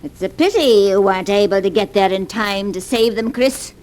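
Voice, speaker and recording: An actress playing a shrewd elderly grandmother, speaking with dry, deliberate suspicion in old-time radio style. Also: medium pitch, darkish tone, close to an everyday voice. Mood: knowing, suspicious. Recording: noisy vintage radio drama recording.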